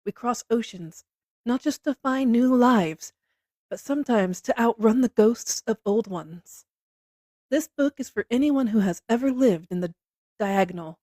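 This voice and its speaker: A woman narrating a literary text in a measured, reflective manner. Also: fairly high pitch, warm tone, no room sound, studio quality. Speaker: narrator reading a book aloud.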